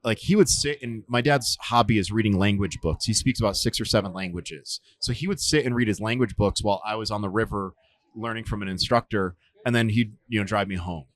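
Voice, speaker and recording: A man in his forties, a survival instructor, telling stories in a relaxed interview, with lots of fillers and restarts. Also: on the low side, fairly quick, no room sound. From United States. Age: 40s. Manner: rambling, many fillers and restarts. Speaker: podcast guest, survival instructor. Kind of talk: casual storytelling interview.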